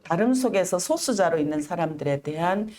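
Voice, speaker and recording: A middle-aged woman speaks in an interview, her voice sitting a little low. She talks in an earnest, measured way, with frequent pauses and careful, deliberate pronunciation. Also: lively intonation, warm tone. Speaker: interview guest, activist. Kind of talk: reflective personal storytelling in an interview.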